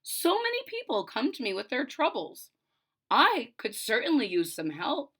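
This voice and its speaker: Woman voicing a gentle, wise old witch. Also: sits high, wildly swooping pitch, normal speed, breathy edge, exaggerated voice. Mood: kindly. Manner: gentle, reassuring.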